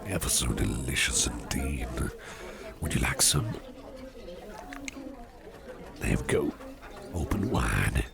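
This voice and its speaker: A man playing an attentive, courteous businessman boss in a soft ASMR roleplay, speaking in a breathy near-whisper with a gentle, reassuring manner. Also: a bass voice, sing-song, a little slow, over music, exaggerated voice. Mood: warm, indulgent.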